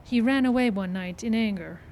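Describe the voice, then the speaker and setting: Female actor as proud, grave middle-aged daughter for radio western; higher register, formal plain-worded delivery. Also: a little fast, darkish tone, lightly acted. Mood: solemn, dignified. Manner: formal, simple sentences without contractions. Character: proud, solemn middle-aged Pawnee daughter of old man. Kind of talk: old-time radio western acting. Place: live stage show in a park.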